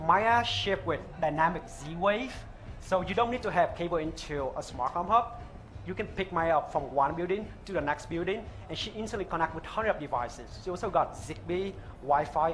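A man in his thirties with a thick Vietnamese accent, earnestly pitching a product live on stage on a headset mic. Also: a bit bright. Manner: earnest, halting non-native phrasing. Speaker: startup founder pitching. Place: open-air stage at a trade show.

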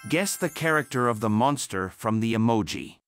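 A man's voice: medium pitch, animated, moderate pace. A man presenting a quiz in scripted narration, as its host. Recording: music under voice. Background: loud music.

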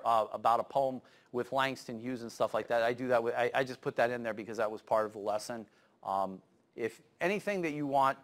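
Middle-aged man wrapping up a lecture in a classroom; repeats words as he goes, rushes his asides. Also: mid-range voice, fairly bright tone. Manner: stammering restarts, rapid asides. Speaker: guest lecturer. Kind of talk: lecture wrap-up. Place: university lecture room.

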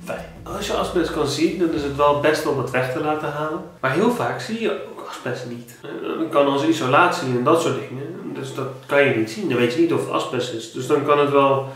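A man in his thirties with a Dutch accent, giving home-buying tips in a casual, animated vlog with many restarts. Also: fairly high pitch, brisk, hardly any pauses. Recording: very echoey room, a bit distant.

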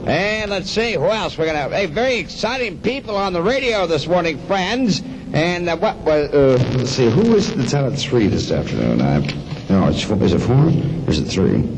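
Lively, high-pitched man, radio morning DJ. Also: normal speed, noisy background. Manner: jokey, mock-bumbling. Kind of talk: 1970s AM radio DJ patter and sponsor reads. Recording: 1972 AM radio aircheck, music behind.

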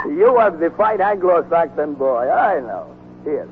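An actor playing an excitable, affectionate man in a 1940s radio drama. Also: on the high side, a little slow. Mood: fond, excitable. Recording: tinny, noisy 1949 radio broadcast recording.

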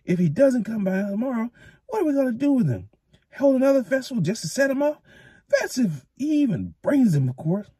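A sour old village thatcher who complains about every expense, as a male narrator puts him on. The voice rides high and wavers. It sounds husky and close. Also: very animated, brisk, warm tone, no echo, heavily acted. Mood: complaining.

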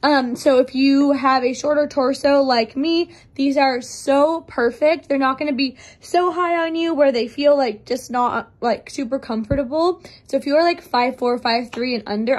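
A young woman with a slightly raspy voice from losing it, chatting bubbly to viewers in a try-on vlog, filmed on a phone in a mirror in a bright living room. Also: high voice, steady tone, normal speed. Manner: bubbly and chatty, addressing viewers as you guys. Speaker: clothing brand try-on host. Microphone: phone held up for a mirror selfie.

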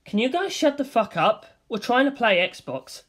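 A man's voice: very high voice, sing-song, moderate pace, a bit bright, breathy edge, pitched above the performer's own voice, full character voice. A man voicing a terse masked male creature who speaks curtly while gaming.

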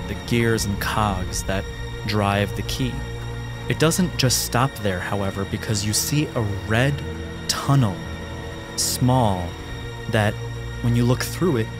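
Slow, low-voiced man narrating horror. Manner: deliberate, descriptive, atmospheric storytelling. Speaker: game master. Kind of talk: narrating a horror tabletop game.

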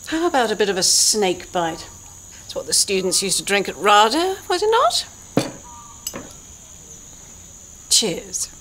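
A woman's voice: medium pitch, sing-song, normal speed, natural voice. Actress doing imperious middle-aged british lady boss, theatrical n scornful, swoops about, bit of background noise. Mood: breezy, haughty. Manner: theatrical, haughty. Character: imperious, breezy, scornful female radio drama boss. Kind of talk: comedy soap acting.

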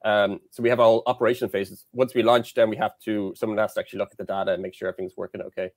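A young Irish man with a fairly low voice explains technical work in a halting way, full of fillers. His headset audio is dry and close. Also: flat delivery, no echo. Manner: hesitant, lots of fillers and restarts. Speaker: guest, satellite engineer. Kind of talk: casual interview chat. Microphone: headset on video call.

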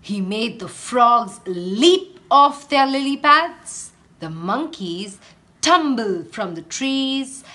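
A woman with an Indian English accent, a teacher reading a picture book aloud in a very slow, expressive storytelling way. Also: high-pitched.

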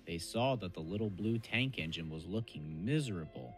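Low-voiced, expressive man narrating a story. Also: normal speed, over music.

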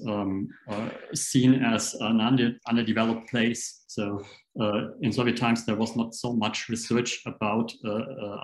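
Male academic, low voice, halting delivery, roomy video-call sound. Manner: hesitant, many fillers. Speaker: academic presenter. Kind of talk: online seminar talk.

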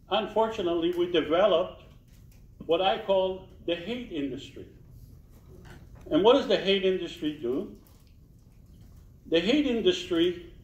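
A man's voice: high voice, darkish tone. A man in his sixties speaking earnestly at a community panel, holding a handheld mic in a small meeting room, and repeating key phrases for emphasis. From Israel. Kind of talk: public talk at a community panel. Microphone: handheld mic, filmed from a distance. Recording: roomy, distant, dull sound.

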